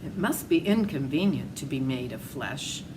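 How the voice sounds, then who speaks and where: A woman's voice: very deep voice, expressive, normal speed. A good-natured scarecrow , acted out by a woman reader, thoughtful and hearty. He sounds like a storybook character, on a recording with noticeable background noise. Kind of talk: storybook read-aloud character voice.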